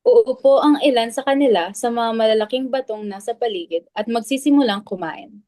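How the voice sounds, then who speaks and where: A woman's voice: on the high side, normal speed, warm tone, no room sound, clean studio sound. A woman with a Filipino accent reading stage directions as scripted narration for a dramatic reading.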